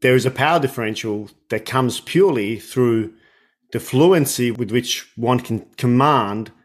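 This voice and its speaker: A man's voice: mid-range voice, measured pace. A man hosting an interview podcast who reflects on and builds on his guest's points. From Australia. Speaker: podcast host. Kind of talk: conversational interview.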